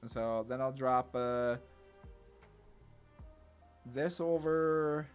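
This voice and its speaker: A man with a medium-pitched voice talks through a card game in a casual, laid-back way. He thinks aloud like a gaming content creator, with faint music playing behind him. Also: extremely slow, clean tone.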